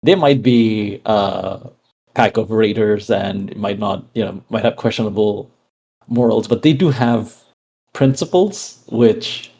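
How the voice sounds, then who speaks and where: A man explaining his character casually in a tabletop game, halting and thoughtful. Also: fairly low pitch, creaky, dark tone. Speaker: player in a tabletop roleplaying game.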